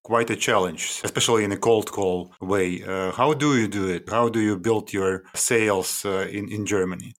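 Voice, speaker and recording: Male podcast host, lower pitch, halting phrasing, interviewer.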